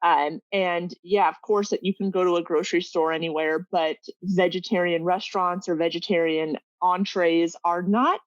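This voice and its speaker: Slightly low-voiced woman, dry and a bit dull. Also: steady tone, moderate pace, hardly any pauses, dry recording. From Northern California. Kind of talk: casual chat.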